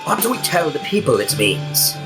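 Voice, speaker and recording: A man's voice: a little high, big pitch swings, moderate pace, music in the background. An actor playing a doubtful, questioning male member of a secret committee in an audio drama.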